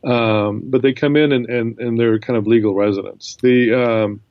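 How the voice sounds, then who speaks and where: A man answering as an interview guest, explaining his points in a measured way. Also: mid-range voice, fairly even intonation, dry recording, dull audio. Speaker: guest professor.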